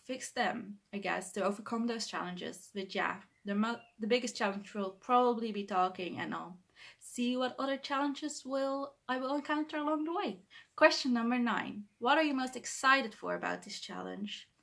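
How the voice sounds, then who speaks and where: A woman's voice: on the high side, normal speed, vocal fry, fairly bright tone. Young Dutch woman, English second language, shy self-correcting vlogger talking alone to camera at home. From Netherlands. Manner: hesitant, self-correcting, laughs shyly. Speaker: booktuber. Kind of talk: casual talk to camera. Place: bedroom.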